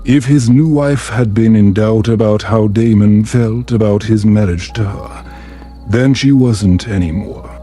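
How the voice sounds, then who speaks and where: Slow, mellow man narrating a bedtime story. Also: on the low side. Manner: soft, soothing, measured. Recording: music under the voice.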